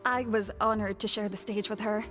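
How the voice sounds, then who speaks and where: A woman's voice: mid-range voice, steady tone, brisk, a bit bright, natural voice. A woman voicing a humble, modest female stage actress. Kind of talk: game voice acting. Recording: game dialogue with music behind.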